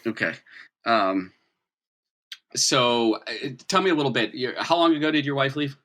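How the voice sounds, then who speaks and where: A man acting as game master, narrating a tabletop game in a casual, halting, improvised way. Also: mid-range voice, animated, a bit bright.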